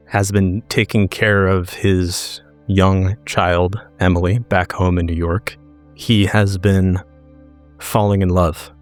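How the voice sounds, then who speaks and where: A man narrating his character's scene in a tabletop game, measured and deliberate, phrase by phrase. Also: deep voice. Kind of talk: slow, descriptive story narration.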